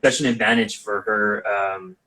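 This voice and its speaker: A man's voice: on the low side, fairly even intonation, normal speed, bright, forceful tone, low-quality recording. A man from Montreal, an actor and editor, chatting casually on a video call in an easygoing way. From Montreal, Canada.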